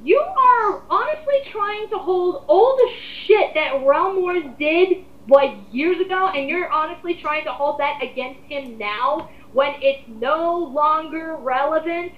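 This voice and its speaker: High-pitched, exasperated woman, echoey recording. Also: measured pace, few pauses. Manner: exasperated, emphatic, profane. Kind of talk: casual opinionated group rant. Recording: muffled, echoey amateur voice recording.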